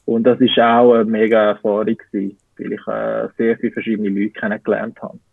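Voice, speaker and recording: A man's voice: on the low side, flat delivery, fairly quick, gravelly, breathy edge, dry recording. A man in his twenties with a Zurich Swiss German accent, answering interview questions and searching for words as he goes. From Switzerland. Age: 20s. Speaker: interview guest. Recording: tinny phone-like call audio.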